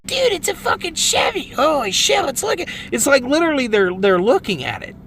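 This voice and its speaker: A man filming from inside a car, joking in an excitable, profane way and swinging between loud outbursts and low mutters. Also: brisk, vocal fry, over loud music. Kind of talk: casual joking commentary. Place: inside a vehicle. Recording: noisy car cabin recording.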